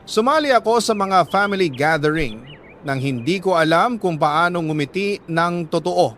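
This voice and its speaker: A man narrates a dramatic story in a somewhat high voice. His delivery is solemn and measured, with pauses for emphasis. There is some noise in the recording. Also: lively intonation, a bit bright. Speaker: narrator reading a listener's letter.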